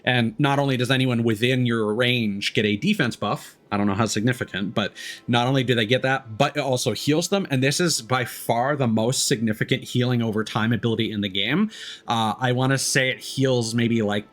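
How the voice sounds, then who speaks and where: A man's voice: medium pitch, normal speed, a bit bright. A man giving casual gameplay commentary as a streamer, thinking aloud in a hesitant way as he explains. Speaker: video game streamer.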